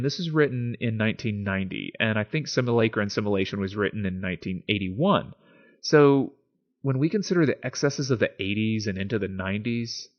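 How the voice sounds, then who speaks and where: Lively, expressive man explaining philosophy. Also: lowish voice, dull audio. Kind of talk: informal video essay with dramatic readings. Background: music.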